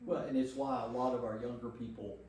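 A man's voice: mid-range voice, steady tone, fairly quick, a little mellow. An American man, a pastor leading an informal Bible study, speaking emphatically and repeating phrases for stress. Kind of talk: informal teaching with group discussion. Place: indoor church meeting room. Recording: echoey room, distant mic, faint noise.

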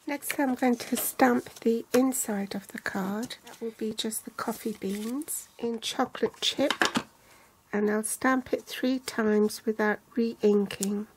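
An older woman calmly walking through a card-making tutorial step by step, reading out measurements in inches and centimeters. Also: on the high side, a little hiss or hum, poor audio. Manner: calm, measured, reads out sizes in inches and centimeters.

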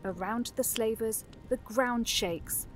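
A woman's voice: on the high side, takes it slowly, over music. A woman giving scripted narration for a fantasy audio drama.

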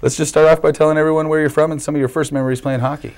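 A man's voice: a little high, flat delivery, rapid-fire, a little mellow. A young man hosting the sports segment of a local TV newscast, interviewing a guest in an upbeat broadcast manner with a few small stumbles. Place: small local TV news studio, indoors. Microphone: lapel mic.

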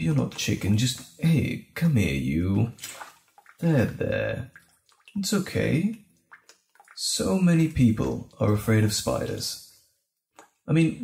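A man playing a gentle, caring boyfriend in an intimate audio roleplay, speaking soothingly and tenderly with light teasing and a halting delivery. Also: fairly low pitch, creaky, echoey room, full character voice. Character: gentle, caring boyfriend comforting frightened partner.

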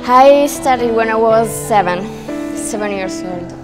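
A woman in her twenties with an Italian accent, searching haltingly for English words in a casual interview. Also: fairly high pitch, poor audio. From Italy. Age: 20s. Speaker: dancer. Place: dark indoor dance studio. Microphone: lapel mic. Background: instrumental music.

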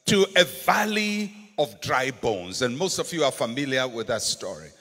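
A Ghanaian man, an older pastor, preaching emphatically from a church auditorium stage into a handheld microphone, slowing to stress key words. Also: mid-range voice, very animated, pushed and bright, breathy edge. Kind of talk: sermon. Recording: long auditorium reverb.

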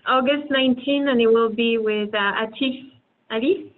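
A woman's voice: a little high, fairly even intonation, moderate pace. A woman in her thirties interviewing a colleague on a video call, asking polite, brief questions. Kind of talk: hosting an interview. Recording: tinny phone-like call audio.

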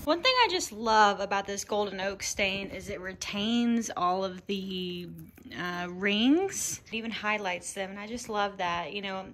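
Bright, playful woman filming a renovation. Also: mid-range voice, slight background noise. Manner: playful, teasing, chatty. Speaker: vlog co-host. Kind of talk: casual chat while filming.

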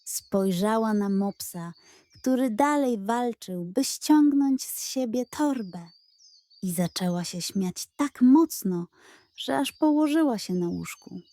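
Slow, soothing woman storyteller. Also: fairly high pitch, no echo. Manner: slow, gentle, expressive. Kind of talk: bedtime story narration.

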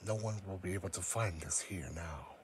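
A man playing a solemn, powerful psychic creature in a fan audio drama, speaking in a grave, deliberate, formal manner. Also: low-pitched, slight background noise, close to an everyday voice. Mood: stern.

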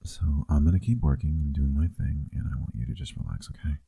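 Male actor as teasing, loving boyfriend for ASMR roleplay; extremely dark, mellow, husky voice, close-miked. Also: rumbling low, fairly quick, no echo, exaggerated voice. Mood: affectionate, teasing. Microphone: close microphone.

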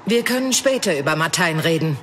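A woman playing a tough, sharp-tongued archer with a dry, curt manner in an audiobook drama. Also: sits low, animated, brisk, slight background noise. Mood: guarded.

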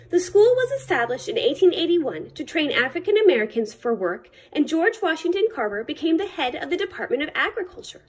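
A woman reading a children's picture book aloud as a librarian, in careful, deliberate short phrases. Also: sits high, darkish tone.

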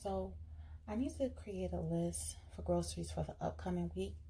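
A woman's voice: fairly low pitch, normal speed, gravelly, mellow, a little hiss or hum. A woman vlogging casually as she plans her week's meals at a table, talking in a chatty way with fillers and restarts. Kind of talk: casual vlog, planning meals while writing. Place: indoors at a table.

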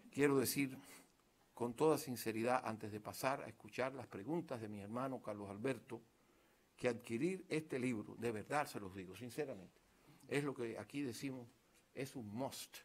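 An older man with a Cuban accent closes his formal remarks at a panel table, speaking emphatically and earnestly into a stand microphone. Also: mid-range voice. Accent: Cuban Spanish. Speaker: presenter introducing a book. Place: conference room, seated at a panel table. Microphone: table stand mic.

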